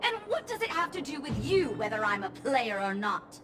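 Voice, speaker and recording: An actress playing a rude, scornful bullying thug in a video game. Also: female voice, fairly high pitch, sing-song, normal speed. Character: bullying, sneering female thug. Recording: background music throughout.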